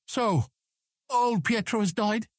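Middle-aged male court official, high voice, formal, gracious yet used to command, dry fantasy audiobook sound. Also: slow pace, no room sound. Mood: courteous, chatty. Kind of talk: audiobook drama acting.

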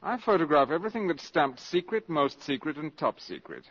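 An actor playing a suave, shrewd valet who is secretly a spy, coolly sardonic and formally polite, in 1950s radio drama style. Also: male voice, on the high side, very animated, a little fast, a bit bright, breathy edge, full character voice. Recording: dull 1950s radio broadcast.

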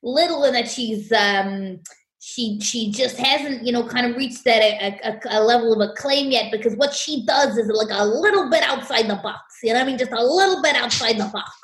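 A woman's voice: a little high, reverberant, close to an everyday voice, low-quality recording. A woman playing a pushy male talent scout. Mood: brash. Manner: pushy, fast-talking, flattering. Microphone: video call.

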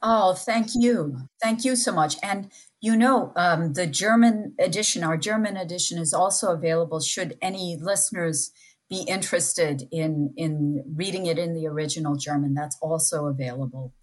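A woman with a slightly raspy, lower voice talks as an invited guest in a discussion. She is thoughtful and enthusiastic, circling back and restarting phrases as she builds her point. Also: normal speed. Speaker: interviewed guest, scholar and book editor. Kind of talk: spoken interview discussion.

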